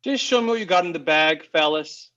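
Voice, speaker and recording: A man's voice: on the high side, lively intonation, fairly quick, pushed and bright, dull audio. A man playing an imposing, impatient drug dealer in his thirties in a comedy table read, curt and commanding. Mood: impatient, amused.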